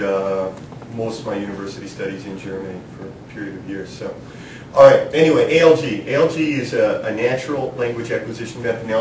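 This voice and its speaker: A man from New York leading a seminar in a classroom, talking informally, joking and asking the students questions. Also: darkish tone. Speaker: seminar leader. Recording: roomy classroom from a distance with background rumble.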